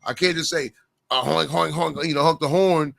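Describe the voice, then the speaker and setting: Hoarse man chatting high school hoops. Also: normal speed, a bit bright. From Oklahoma. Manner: rambling, repeats words. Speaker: podcast co-host. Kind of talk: casual sports chat.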